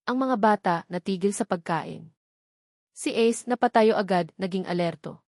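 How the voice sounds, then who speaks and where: Female storyteller, medium pitch, scripted first-person romantic drama narration, dry recording. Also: moderate pace, darkish tone, dry recording.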